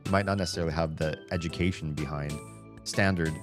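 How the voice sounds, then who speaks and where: Southern us guy streaming, real low voice, rambles and cuts himself off, music going behind him. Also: fairly even intonation, fast talker. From Southern United States. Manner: rambling, self-interrupting, wry. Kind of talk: casual livestream chat while gaming. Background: background music.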